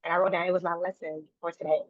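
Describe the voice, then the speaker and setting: Woman, earnest, repeats herself, lowish voice, tinny dry phone line. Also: flat delivery, rapid-fire, no echo. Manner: earnest, repeats and restarts phrases, faith-filled. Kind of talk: casual interview conversation.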